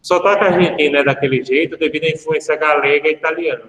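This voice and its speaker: A man's voice: a little high, fairly even intonation, fast talker, fairly bright tone, slight background noise. A man, a law professor giving a casual online lecture, chatty and full of anecdotes and asides to his students. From Brazil. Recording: echoey room with long reverb.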